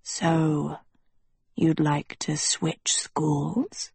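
A woman voicing an old, mysterious male schoolmaster in a breathy whisper, slow, smug and silkily condescending in a storybook reading. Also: low-pitched, level tone, a little mellow, no room sound, heavily acted. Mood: quietly smug.

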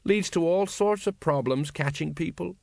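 A man's voice: fairly high pitch, normal speed, gravelly, darkish tone, no echo, studio quality, pitched above the performer's own voice. A man voicing an older male city watch sergeant who talks sadly and rambles through stories. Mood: mournful. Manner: sad, rambling, tells stories.

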